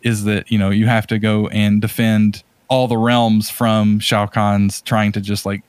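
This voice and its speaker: Casual man with a lowish voice. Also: flat delivery, a little slow, clear, resonant tone, dry recording. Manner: informal, conversational. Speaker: podcast co-host. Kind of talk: casual chat.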